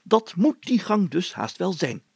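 Storybook mayor performed by a male reader: pompous, excitable adventurer, heavy creak, husky, dry close sound. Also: sits high, unhurried, dry recording, voice pushed up, full character voice. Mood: eager, confiding. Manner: pompous, exclamatory, chatty. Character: excitable, self-important, adventurous village mayor, a man.

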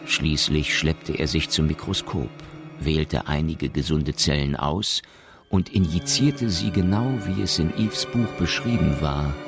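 An extremely deep male voice with a gravelly creak narrates a radio play. The scripted storytelling sits over clearly audible music. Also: a little slow.

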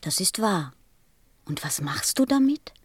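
A woman's voice: on the low side, sing-song, measured pace, slightly rough, no echo, full character voice. A woman playing a curious, earnest young boy in a radio play, innocent and persistent in manner. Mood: thoughtful. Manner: innocent, insistent questioning.

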